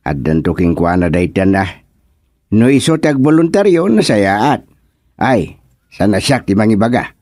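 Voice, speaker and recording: A man plays a hesitant village chairman in a radio serial. He, a questioning manner and very lively pitch over a slow, drawn-out delivery. The sound is dry and close. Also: dry recording. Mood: musing. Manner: questioning, full of puzzled interjections. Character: male village chairman, easily puzzled, hesitant. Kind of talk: Ilocano radio comedy-drama acting.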